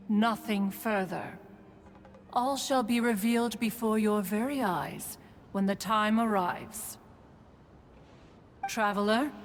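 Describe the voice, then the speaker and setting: A woman's voice: medium pitch, slight background noise, natural voice. Pompous, echoing bird immortal played by a woman. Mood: aloof, imperious. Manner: pompous, archaic formal speech, refers to self as "one". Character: haughty, ancient female bird adeptus. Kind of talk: theatrical video game character acting. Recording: long hall reverb, game music behind.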